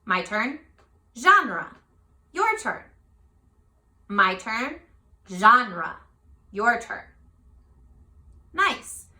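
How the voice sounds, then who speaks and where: A woman's voice: fairly high pitch, big pitch swings, very slow, long pauses, pushed and bright. A woman teaching young children in a read-aloud lesson, asking simple questions and encouraging them directly. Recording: echoey room.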